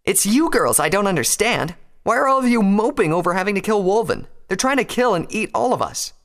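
A man's voice: high voice, wildly swooping pitch, fast talker, a bit bright, pitched above the performer's own voice, full character voice. A man voicing a brash, boastful young female pegasus pony fighter in a heated manner for a story reading. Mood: defiant. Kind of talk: dramatised fan fiction reading.

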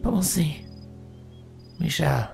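A man voicing a dutiful female changeling queen in a storybook reading, raised in pitch, measured and formal. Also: lively intonation, gravelly, a little mellow, soft background music, full character voice. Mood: steady.

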